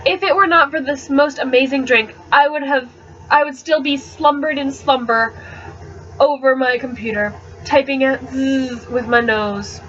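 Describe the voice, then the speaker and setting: A woman's voice: high-pitched, a little slow, fairly bright tone. A woman voicing an amused middle-aged father who teaches college and tells anecdotes, in a storybook reading on a dull webcam recording. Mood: mock solemn. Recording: dull, slightly noisy webcam audio.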